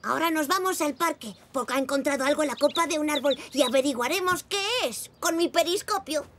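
A woman's voice: sits high, fairly quick, fairly bright tone, a little hiss or hum. A woman voicing a curious, eager five-year-old boy zebra in a children's cartoon, childlike and inquisitive. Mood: cheerful.

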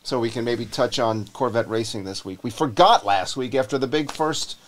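A man's voice: medium pitch, normal speed. A man co-hosting a live car podcast in a jokey, chatty way. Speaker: podcast co-host. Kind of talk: casual chat. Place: studio set with desk mics. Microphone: desk mic on boom arm.

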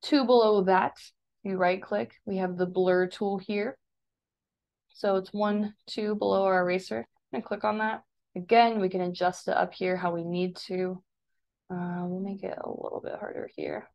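A woman's voice: mid-range voice, flat delivery, normal speed, creaky, highs cut off. Casual American woman giving a tutorial. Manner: informal, instructional, talking through each action as it is done. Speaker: instructor giving a software tutorial. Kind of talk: casual step-by-step screen demonstration.

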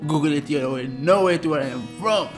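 A man in his thirties from Algeria with an Algerian accent, hosting a casual music reaction in halting, excitable English, talking into a close microphone in a small room. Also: fairly high pitch. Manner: excitable, halting non-native English with restarts. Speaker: reaction channel host. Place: small room with dark blue backdrop. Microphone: studio mic just in front of face. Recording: faint guitar music behind. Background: guitar music playing.

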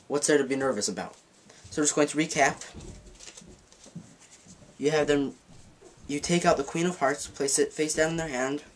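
A man's voice: a little high, a little hiss or hum. Rambling man explaining a card trick. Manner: rambling, self-correcting, demonstrating while talking. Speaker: magic teacher. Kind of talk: casual card-trick tutorial. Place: dim room at a dark table.

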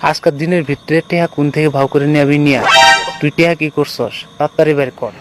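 Man voicing a fast-talking, furious cartoon father. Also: fairly high pitch, steady tone, loud music behind the voice, lightly acted. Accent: eastern Bengali dialect. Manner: gruff, berating. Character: angry, suspicious father of a grown son. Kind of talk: cartoon voice acting.

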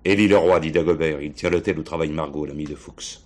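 An actor playing a blunt, dogged French police inspector in a radio play, gruff and slangy in manner. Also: male voice, rumbling low, fairly even intonation, fast, a little mellow. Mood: assured, insistent.

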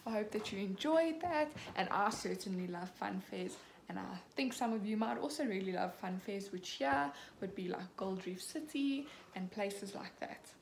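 A young woman in her twenties, a teacher, reading a picture book aloud to children slowly and clearly, acting out the characters. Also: mid-range voice, lively intonation. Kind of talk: children's storybook read-aloud. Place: small room at home, indoors. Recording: echoey room, faint background hiss.

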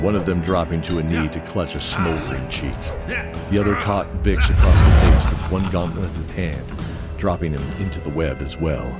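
A man giving scripted narration for a fantasy audio drama. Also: deep voice. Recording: music under the voice. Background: music.

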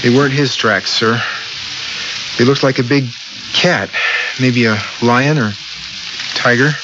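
An actor playing a grave, deferential spaceship crewman in a radio drama, speaking haltingly. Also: medium pitch, natural voice. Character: grave, deferential male crewman reporting back. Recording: dull radio recording, noisy background.

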